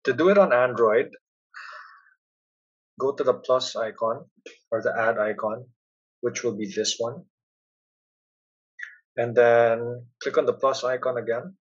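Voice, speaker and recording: A man presents a step-by-step software walkthrough in a plain, instructional way. His voice is a little husky and sits at a medium pitch. The recording is somewhat dull and muffled. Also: measured pace, long pauses. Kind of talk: step-by-step screencast narration.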